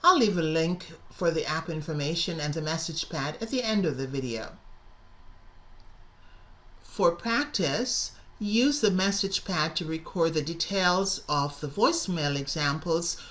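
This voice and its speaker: A woman's voice: low voice, expressive, unhurried, fairly bright tone. A middle-aged woman teacher narrating a scripted lesson, enunciating carefully and stressing key words. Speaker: English teacher and presenter.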